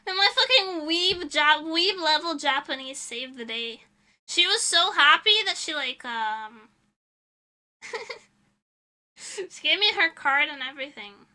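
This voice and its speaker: A woman's voice: sits high, animated, slow pace, long pauses, bright, forceful tone. A young woman streaming as a VTuber, chatting casually with her viewers in a rambling, giggly way.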